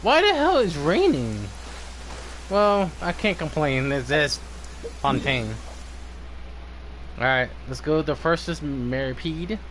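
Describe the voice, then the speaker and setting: A man's voice: a little high, very animated, slow pace, pauses often, a bit bright, slight background noise. A man giving casual live gameplay commentary, informally muttering to himself and swearing. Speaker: game streamer.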